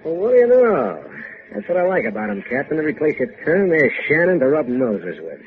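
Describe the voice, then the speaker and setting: A man's voice: a little high, big pitch swings, brisk. Sneering radio henchman, swooping delivery. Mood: taunting. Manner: sarcastic, goading. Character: sneering, taunting henchman, male villain. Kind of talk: 1950s radio drama acting. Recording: muffled, phone-like old radio recording.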